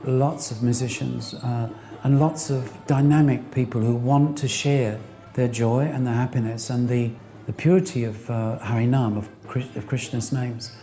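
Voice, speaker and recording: A man speaks in a casual interview with a mellow, dark tone and a rambling manner. Soft music sits faintly under the slightly dull, lo-fi sound. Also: mid-range voice, fairly even intonation, moderate pace, few pauses. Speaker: temple devotee interviewee.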